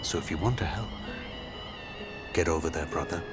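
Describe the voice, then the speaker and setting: A man's voice: deep voice, normal speed, over loud music, close to an everyday voice. A man playing a passionate, proud and persuasive rebel leader in a game cutscene. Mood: urgent.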